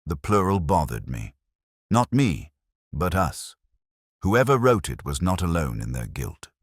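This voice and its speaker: A man playing a melancholy, reflective police detective, narrating his case in a measured, literary, brooding manner for a mystery audio drama. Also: sits low, big pitch swings, dry recording, clean studio sound, full character voice. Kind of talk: scripted first-person noir-like mystery narration.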